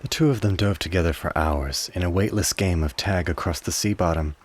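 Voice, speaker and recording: A man narrating a scripted drama in a measured storytelling manner. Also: sits low.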